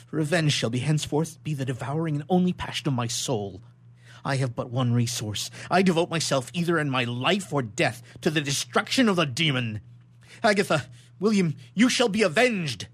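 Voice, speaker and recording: A man's voice: fairly high pitch, fairly bright tone, slightly rough. A man playing an anguished, vengeful scientist in melodramatic, declamatory radio theatre style. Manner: declamatory, grandiose, archaic diction. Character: vengeful, anguished young scientist hunting his demon.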